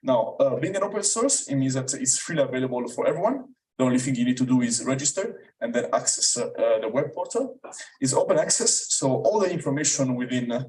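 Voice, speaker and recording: A young man with an Italian accent presents to a lecture room and fills his talk with ums and uhs. His voice sits at a medium pitch and picks up some distance from the mic, like a speaker standing away from it. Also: expressive, fairly quick, darkish tone. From Italy. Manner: hesitant, many fillers. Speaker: student presenter. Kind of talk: academic talk.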